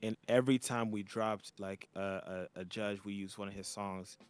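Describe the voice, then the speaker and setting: A man's voice: fairly low pitch, fairly even intonation, moderate pace, clean tone, no room sound, soft background music. A man from Montreal chatting casually as a DJ co-host on a video call, rambling and loose with lots of fillers. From Montreal, Canada. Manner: laid-back, rambling, many fillers. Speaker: DJ and podcast co-host. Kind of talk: casual chat. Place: home, child playing nearby.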